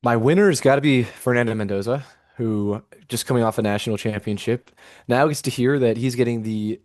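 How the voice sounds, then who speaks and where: A man's voice: mid-range voice, a little mellow, dry recording, clean studio sound. A man co-hosting a sports podcast with casual, chatty banter.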